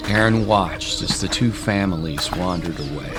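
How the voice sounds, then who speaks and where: A man reads a scripted story as its narrator. His voice is very deep, and there is clear music underneath the narration. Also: normal speed.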